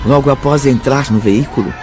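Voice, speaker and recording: A man's voice: medium pitch, a little fast, dull audio, lightly acted. A man giving solemn, dramatic scripted narration as the narrator of a radio drama. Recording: band-limited, very loud music behind.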